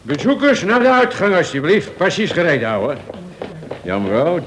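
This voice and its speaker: An actor playing a brisk, official prison gate guard calling out routine orders in a Dutch radio drama. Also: male voice, a little high, huge pitch swings, a little hiss or hum.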